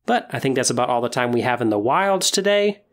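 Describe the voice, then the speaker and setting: Conversational podcast host, mid-pitched voice. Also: animated, a little fast. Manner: conversational, explanatory. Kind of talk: solo podcast talk on tabletop role-playing.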